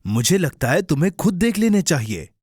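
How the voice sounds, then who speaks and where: Patient, animated cartoon companion. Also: mid-range voice, a little fast, slightly rough, dry recording, studio quality. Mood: friendly. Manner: encouraging, gently advising. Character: patient, friendly, reassuring cartoon companion of a boy. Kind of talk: children's cartoon dub.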